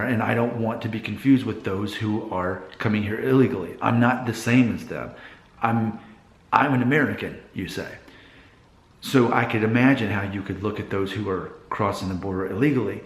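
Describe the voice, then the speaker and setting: A man with a fairly low voice records an opinion vlog in a room with clear echo. He addresses his viewers directly, in a deliberate and emphatic way. The delivery is an earnest monologue. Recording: echoey room.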